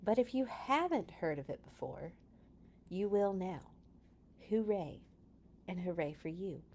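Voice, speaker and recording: A woman's voice: fairly low pitch, lively intonation, unhurried, a little mellow. A woman hosting a children's read-aloud, speaking informally and chatting in asides to her listeners between passages. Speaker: children's storytime host.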